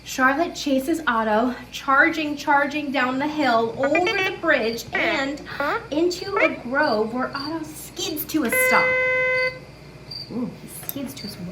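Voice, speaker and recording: A woman reading a picture book aloud to children as a story-time host, with expressive sing-song delivery and chatty asides to the listeners and a puppet. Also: high voice, takes it slowly, over a noisy crowd. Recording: echoey room with clear background noise.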